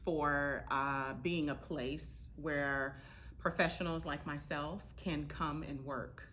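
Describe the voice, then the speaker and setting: A woman's voice: low voice, fairly even intonation, slow, fairly bright tone, slight background noise. A woman from Florida, a theater director and actress, giving thoughtful answers as an interview guest. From Florida, United States.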